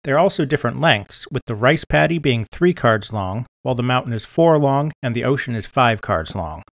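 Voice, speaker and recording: A man giving scripted instructional narration in a clear, methodical, step-by-step manner, as a tutorial presenter. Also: medium pitch, expressive, normal speed, a bit bright, no room sound, clean studio sound. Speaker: board game tutorial presenter.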